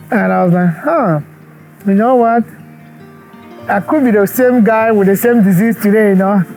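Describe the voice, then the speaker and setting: Earnest, high-voiced doctor from Cameroon. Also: male voice, a little mellow, low-quality recording. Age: 40s. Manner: earnest and reflective, with thoughtful pauses. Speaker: doctor and brain cancer researcher. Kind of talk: documentary interview.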